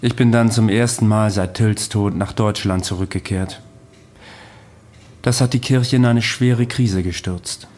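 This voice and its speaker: A man's voice: on the low side, steady tone, measured pace, darkish tone, natural voice. An actor playing a dry, candid immortal witness recounting his long past in a conversational radio drama. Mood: wry, reminiscing. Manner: matter-of-fact, wry.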